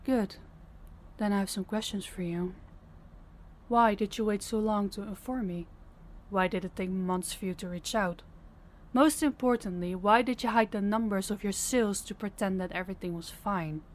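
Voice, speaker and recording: Stern female crime boss, played by a woman, mid-pitched, blunt and commanding, audio drama. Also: moderate pace, natural voice. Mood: stern, probing. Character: stern, fed-up female crime boss scolding her subordinate. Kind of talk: audio roleplay acting.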